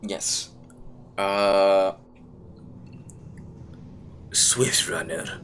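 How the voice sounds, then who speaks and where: A man's voice: sits low, little pitch movement, drawn-out words, a bit bright, slight background noise. A man acting as game master, chatting casually and answering players in a laid-back, rambling way. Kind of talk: casual chat running a tabletop game.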